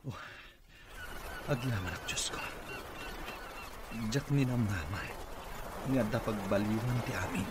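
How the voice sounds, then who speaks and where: An actor with an Ilocano accent playing an earnest, grateful boss who is a recovering patient, in a radio drama. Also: male voice, fairly low pitch, lively intonation, unhurried, pauses often. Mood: weary, hopeful. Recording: noisy radio drama recording.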